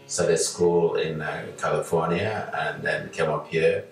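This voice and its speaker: Older man, from Nigeria, very low voice, slow halting delivery, distant mic, echoey room. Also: steady tone, gravelly, soft background music. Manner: slow, hesitant with many fillers. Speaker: interview guest, musician. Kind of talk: relaxed reflective storytelling.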